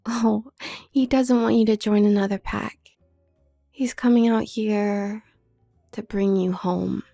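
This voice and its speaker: A woman playing a haughty, manipulative younger werewolf sister from a noble family in an audio drama, speaking with condescending, needling smoothness. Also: medium pitch, fairly even intonation, takes it slowly, warm tone, soft background music. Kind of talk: scripted audio roleplay drama.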